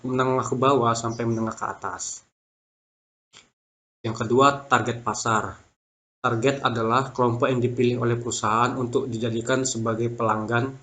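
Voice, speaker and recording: Young man reading a presentation haltingly. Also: medium pitch, a bit bright. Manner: stumbles over words and restarts them. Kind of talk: reading a prepared business plan presentation.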